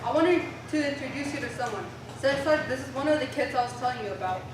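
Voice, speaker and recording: A woman acts a commanding investigator and mentor in a staged reading. The voice is high, the delivery brisk and directive. It comes from far off in a very echoey room with noise behind. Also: lively intonation. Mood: urgent, welcoming. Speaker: stage reader in a documentary play.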